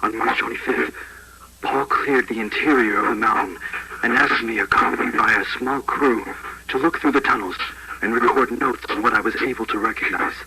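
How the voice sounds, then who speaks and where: Solemn archaeologist on a very noisy tape, acted. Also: male voice, mid-range voice, vocal fry, natural voice. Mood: grave, awed. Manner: measured, formal, pausing between phrases. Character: sober archaeologist recording an uneasy log of discoveries. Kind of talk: 1980s found-tape horror monologue. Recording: very noisy old tape log.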